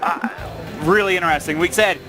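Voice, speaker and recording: High-pitched hyped male esports commentator. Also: a little fast. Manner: exclamatory, hyped. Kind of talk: excited live play-by-play commentary. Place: live tournament venue. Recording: loud event hall, crowd and music. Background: cheering crowd and music.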